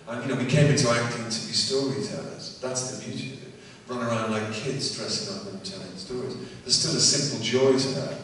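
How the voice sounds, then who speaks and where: A man, a guest actor on a convention panel, answering reflectively with halting starts that trail off quietly. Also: mid-range voice, a little hiss or hum. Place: large theatre stage. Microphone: distant hall pickup. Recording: echoey theatre from far off.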